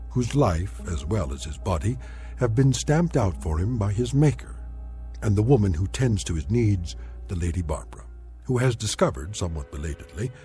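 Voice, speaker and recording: A man giving measured, sardonic scripted narration to close a radio drama. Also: medium pitch, mellow.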